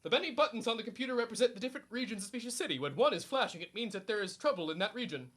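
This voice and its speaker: Casting: male actor as grandiose small-time superhero for radio comedy, animated, forceful tone, flustered under pressure. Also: high-pitched, racing. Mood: self-important. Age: young adult. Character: pompous, needy, suburban amateur male superhero.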